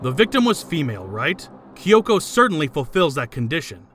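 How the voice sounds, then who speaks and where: A man's voice: on the high side, very animated, fairly quick, a bit bright, a little hiss or hum. A man voicing an arrogant, coldly logical elite student with haughty, clipped delivery in an anime fandub. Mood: cold, matter-of-fact.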